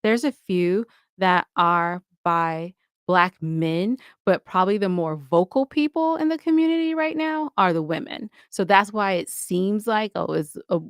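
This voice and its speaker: A woman's voice: medium pitch, expressive, a little slow, clean tone, no room sound, studio quality. An American woman chatting casually as a podcast guest, with an emphatic, colloquial manner. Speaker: podcast guest, audio drama producer. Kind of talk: casual interview chat.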